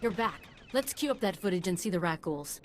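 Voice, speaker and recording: A woman's voice: fairly low pitch, animated, fairly quick, slight background noise, close to an everyday voice. A woman playing an eager, nerdy scientist in a video game, precise and absorbed. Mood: eager, intent. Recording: game music underneath.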